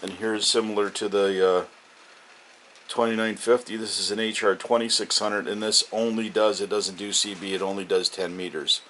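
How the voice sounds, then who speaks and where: A man's voice: on the low side, level tone, normal speed, fairly bright tone. A man giving a casual, unscripted show-and-tell as a radio hobbyist, plain-spoken and rambling, often correcting himself. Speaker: CB and ham radio hobbyist showing off a collection. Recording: very noisy home-video sound with hiss.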